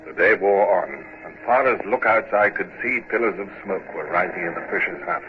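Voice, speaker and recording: An actor playing a calm, commanding British naval commodore in a vintage radio drama, giving measured, dryly ironic orders and narrating. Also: male voice, low-pitched, music in the background, close to an everyday voice. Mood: reflective narration. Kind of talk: 1950s radio drama acting. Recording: muffled noisy old radio recording.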